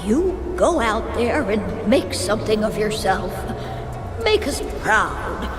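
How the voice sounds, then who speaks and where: A motherly village woman in a fantasy game. She is kind and encouraging, with unhurried, very expressive speech. It echoes, with music behind. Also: mid-range voice. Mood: tender, encouraging. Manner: tender, encouraging. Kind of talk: video game voice acting. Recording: echoing hall reverb, music behind.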